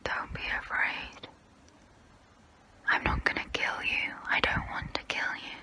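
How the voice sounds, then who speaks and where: A woman playing a centuries-old female vampire, guilt-ridden and gentle yet predatory, in an intimate, breathy, halting ASMR role-play whisper. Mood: soothing, reassuring. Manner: slow, halting, intimate, soothing. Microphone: close-up microphone.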